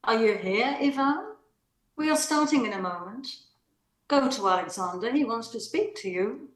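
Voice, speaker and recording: A woman playing a restrained, elegant married lady, wistful yet resolute, in a measured amateur play reading. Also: on the high side, lively intonation, reverberant, close to an everyday voice. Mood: brisk, composed.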